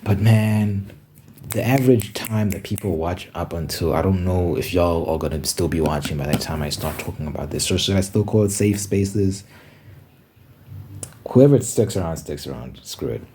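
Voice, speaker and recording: A man's voice: deep voice, moderate pace, mellow, a little hiss or hum. A man from Zimbabwe talking casually to camera in his room, rambling and correcting himself as he thinks aloud. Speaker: ASMR channel host. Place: bedroom with window.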